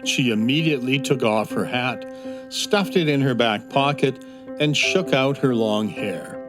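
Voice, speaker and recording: A man narrating a children's fantasy audio drama in a gentle, unhurried storytelling manner. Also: mid-range voice. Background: soft instrumental music.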